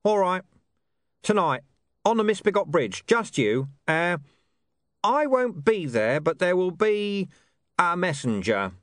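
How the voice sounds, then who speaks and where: A man voicing a scruffy, streetwise male talking dog with a high, brash voice. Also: big pitch swings, takes it slowly, pauses often, fairly bright tone, no echo, studio quality, full character voice. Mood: grudging.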